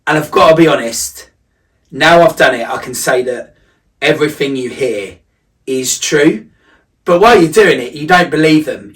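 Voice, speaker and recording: A man's voice: fairly high pitch, a bit bright. Man vlogging, slightly distant mic. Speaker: running coach vlogger. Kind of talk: casual personal vlog recap.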